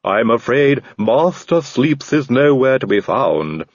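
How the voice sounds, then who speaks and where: A man voicing a calm male odd-job servant, stitched together from many men, speaking slowly and politely. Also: medium pitch, slightly rough, no echo, lo-fi sound, pitched above the performer's own voice, heavily acted. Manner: slow, unruffled, oddly polite.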